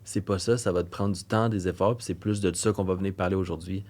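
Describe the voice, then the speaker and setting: A young man with a Québécois accent speaks in a low register, chatting casually as a podcast co-host. His talk is earnest and rambling, and he often loses his thread before finishing a point. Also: fairly even intonation, quick pace, darkish tone. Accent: Québécois French. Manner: rambling, earnest, trails off mid-thought. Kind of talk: casual chat.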